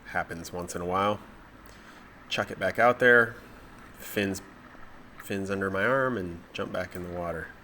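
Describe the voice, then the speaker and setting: A man with a deep, low voice narrates dive footage in a relaxed, explaining way. His nose is stuffed up from a cold. A faint noise sits under the voice-over. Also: a little slow, pauses often. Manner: relaxed, matter-of-fact explaining. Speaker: spearfishing vlogger.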